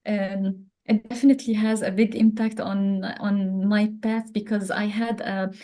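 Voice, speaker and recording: A woman from Lebanon, a chemistry professor, giving a webinar talk over a video call, pausing often with ums and uhs. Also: mid-range voice, flat delivery, mellow. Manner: hesitant, many ums and uhs. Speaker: guest speaker, chemistry professor.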